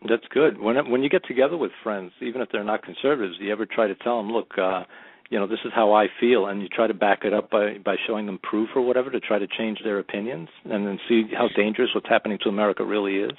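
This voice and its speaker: Fast-talking gravelly talk radio host. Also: male voice, on the low side, fairly even intonation. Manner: rapid, rambling, repeats words. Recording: tinny phone-quality audio.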